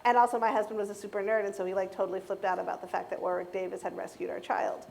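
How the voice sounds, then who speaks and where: A middle-aged American woman speaking casually and anecdotally to an audience, acting out dialogue in an animated way. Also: mid-range voice, very fast, gravelly. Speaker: keynote speaker on a panel.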